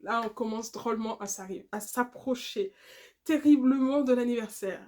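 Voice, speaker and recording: A woman in her late thirties from Guadeloupe, chatting casually to the camera in a rambling, talkative way, often laughing and filling pauses. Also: a little high, darkish tone. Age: 30s. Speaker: vlogger.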